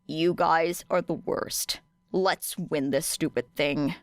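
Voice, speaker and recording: A woman voicing a sardonic, put-upon teenage boy in an audiobook, dry, huffy and deadpan. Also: sits low, takes it slowly, no echo. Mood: sulky, exasperated.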